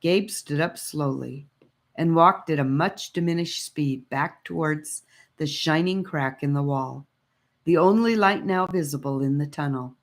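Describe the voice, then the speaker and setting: A woman narrating a scripted story in a measured, expressive storytelling manner. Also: low voice. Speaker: audiobook reader.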